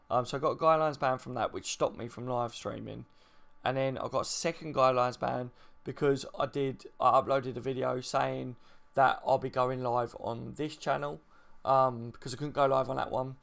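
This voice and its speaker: Quiet, deep-voiced man chatting. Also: creaky. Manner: quiet, laid-back, chatty. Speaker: channel host. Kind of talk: casual live stream chat. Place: at home, baby asleep next door. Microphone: mic right in front of his mouth.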